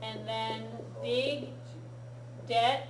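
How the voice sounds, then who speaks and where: A woman teaching a Japanese lesson, explaining patiently in an unhurried, easygoing way. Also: fairly high pitch, fairly even intonation, a little hiss or hum. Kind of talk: relaxed classroom-style lesson. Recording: echoey room.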